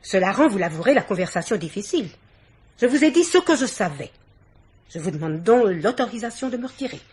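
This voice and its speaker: Husky, haughty bourgeois aunt. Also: female voice, mid-range voice, sing-song, a little fast, darkish tone. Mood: guarded, offended. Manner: polite, haughty, carefully articulated indignation. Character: dignified bourgeois aunt and guardian, guarded, haughty, easily offended. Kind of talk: French radio mystery play acting.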